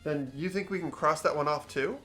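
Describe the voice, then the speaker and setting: A man's voice: a little high, fairly quick, pushed and bright, faint music behind. A man voicing an earnest, curious and unsure teenage schoolboy in a fan-voiced mystery game, questioning and thinking aloud. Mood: puzzled, curious. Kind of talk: fan voice-over of a mystery visual novel.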